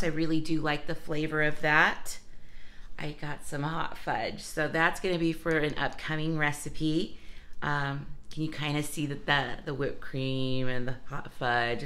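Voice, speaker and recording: Woman, low voice with a bright edge, a bit hoarse from a cold; chatty vlog host talking to camera. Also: a little hiss or hum. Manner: chatty, talks straight to viewers with asides. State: hoarse from a cold, losing her voice. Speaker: vlogger showing a grocery haul. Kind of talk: casual chatty vlog talk.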